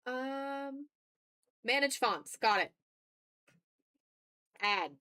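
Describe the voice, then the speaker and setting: A woman's voice: high voice, animated, extremely slow, sharp tone, clear, resonant tone, rough recording. A woman livestreaming as she draws, chatting casually with viewers and muttering to herself as she talks through each step. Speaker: art streamer. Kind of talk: casual livestream chat while drawing.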